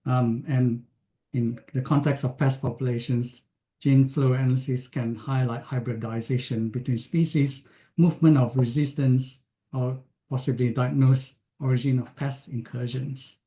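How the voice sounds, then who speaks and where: A man from Malaysia giving an online science lecture, speaking with frequent ums, pauses and restarts. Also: mid-range voice, fairly even intonation, warm tone. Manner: hesitant, many ums and restarts.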